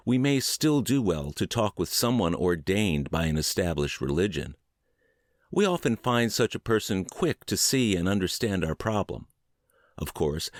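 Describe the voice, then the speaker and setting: A man reads a book aloud in a very low voice. His intonation moves widely, with measured, deliberate phrasing. The sound is dry and close, with no room echo, as in a narrated audiobook. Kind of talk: scripted narration, word-for-word book reading.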